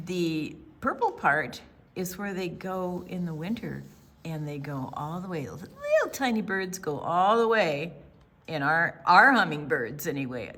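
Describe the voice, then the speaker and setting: An older woman reading a picture book to children as a storytime presenter, with deliberate storyteller phrasing and dramatic pauses. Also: lowish voice, bright, forceful tone, slight background noise.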